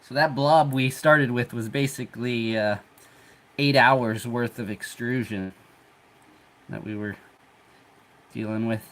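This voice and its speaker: A congested man hosting a livestream, chatting in a relaxed, rambling way while he works, chuckling often. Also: medium pitch, slow, long pauses, slight background noise. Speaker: 3D printing livestream host. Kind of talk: casual livestream talk while working.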